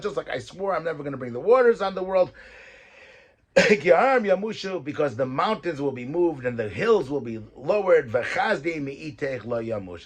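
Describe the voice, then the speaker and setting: A man, a rabbi, giving a weekly Torah talk, reading verses and explaining them in lively English. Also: medium pitch, breathy edge. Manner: mixes Hebrew verses with English explanation, animated.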